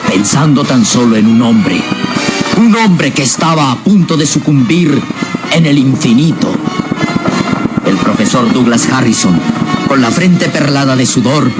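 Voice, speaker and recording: A man's voice: mid-range voice, poor audio. A man narrating a radio drama serial in a dramatic, scripted storytelling style. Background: loud music.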